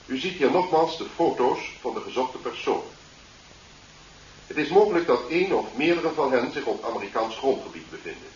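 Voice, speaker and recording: An actor playing a formal, authoritative newsreader giving a scripted bulletin in a radio drama, with emphatic delivery. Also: male voice, mid-range voice, very animated, a little fast, close to an everyday voice. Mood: formal, urgent. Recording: echoey, dull, hissy old broadcast.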